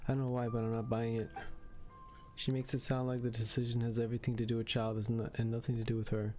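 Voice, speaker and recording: Guy on a let's play stream reading along, pretty monotone, mid voice, soft music behind. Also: mid-range voice, quick pace. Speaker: gaming streamer. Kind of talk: let's play livestream, reading dialogue and commenting.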